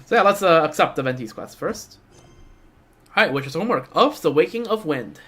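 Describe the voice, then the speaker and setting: A man's voice: on the high side, expressive, a little fast, a bit bright, breathy edge. A young man giving casual live stream commentary on a game, rambling and thinking aloud. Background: game music.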